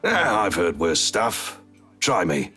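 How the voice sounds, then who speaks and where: Lowish gruff bartender, teasing and blunt, visual novel game, music playing under it. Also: male voice, very animated, slow, pushed and bright, exaggerated voice. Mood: teasing, frank. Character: gruff, teasing middle-aged male barman, amused and blunt. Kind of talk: dramatic game dialogue. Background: background music.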